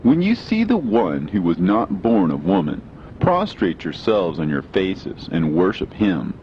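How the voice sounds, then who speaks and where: A man's voice: sits low, sing-song, measured pace, dull audio, slight background noise. A man reading scripture as scripted audiobook narration, in a solemn, declamatory manner. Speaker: reader of religious scripture.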